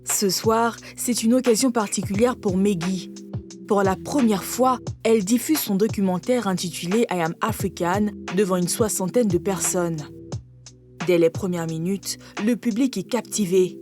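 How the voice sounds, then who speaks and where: A woman's voice: mid-range voice, normal speed. Woman narrating a TV portrait over music. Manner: polished, even narration. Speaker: report narrator. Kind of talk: scripted documentary voice-over.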